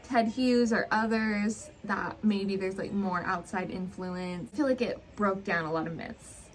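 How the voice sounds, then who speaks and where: A woman co-hosting a book vlog in casual chat, speaking haltingly with "like" scattered through her sentences. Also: medium pitch, poor audio. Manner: hesitant, halting delivery full of "like". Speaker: book vlogger co-hosting a Q&A tag.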